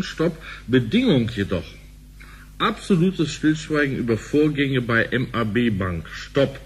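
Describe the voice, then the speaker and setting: A man's voice: mid-range voice, slight background noise, close to an everyday voice, poor audio. An actor playing a grumbling, suspicious man who works at a bank, in conversational radio play style. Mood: reading out, dry.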